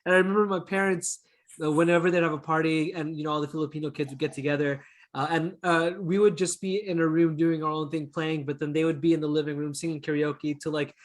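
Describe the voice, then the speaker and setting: A man with a Canadian accent, chatting casually and telling stories as an interview guest, easygoing and quick to chuckle. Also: on the high side, fairly even intonation. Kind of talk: casual conversational storytelling.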